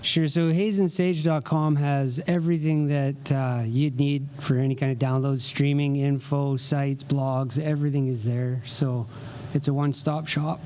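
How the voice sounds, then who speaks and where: A man's voice: mid-range voice, level tone, a little slow, mellow. A man speaks as a musician being interviewed. His chat is casual, modest and laid-back, in short plain answers. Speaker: singer-songwriter guest.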